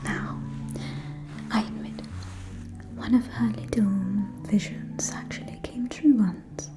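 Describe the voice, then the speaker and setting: A woman's voice: fairly high pitch, fairly even intonation, over music. A woman playing a catty, gossiping aristocratic vampire lady, speaking in an arch, conspiratorial manner in an ASMR roleplay. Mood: dismissive, amused. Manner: arch, conspiratorial, drawn-out, with sighs and soft chuckles.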